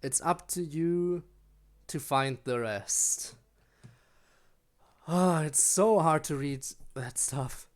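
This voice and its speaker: A man's voice: a little high, slow pace, pauses often. A man streaming a video game, giving casual commentary and muttered asides. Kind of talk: casual live gaming commentary.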